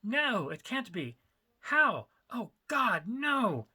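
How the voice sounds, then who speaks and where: Man putting on a high, frantic little swindler. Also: big pitch swings, extremely slow, bright, forceful tone, slightly rough, full character voice. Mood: panicked. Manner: frantic, blurting. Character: panicky, nervous little male art dealer, cheating schemer. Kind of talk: dramatic story reading.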